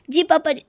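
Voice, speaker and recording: Woman doing a young bahu, high voice, sly but acting meek, cartoon story, dry close audio. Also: a bit bright, dry recording, heavily acted. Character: sly young daughter-in-law Shravani, feigning illness. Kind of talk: Hindi animated moral story acting.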